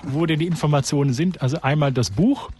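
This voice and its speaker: A man's voice: a little high, quick pace, dark tone, a little hiss or hum. A man hosting a radio interview, putting questions to guests and passing on practical information to listeners. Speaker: radio host.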